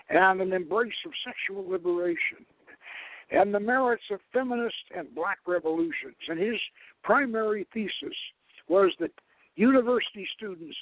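A man's voice: sits high, no room sound. Male radio host on a tinny line. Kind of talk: talk radio lecture, partly read aloud.

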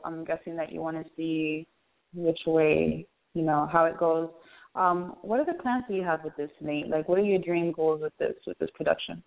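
A woman hosting a casual interview in an encouraging, conversational way. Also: fairly low pitch. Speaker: interview host. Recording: thin phone-line sound.

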